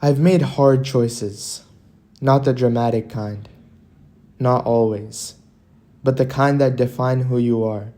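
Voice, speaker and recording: A man reads a scripted motivational monologue at an unhurried, slow pace. His voice is soft-edged and mellow, and his delivery is earnest and deliberate, with weighty pauses between short phrases. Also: mid-range voice, steady tone.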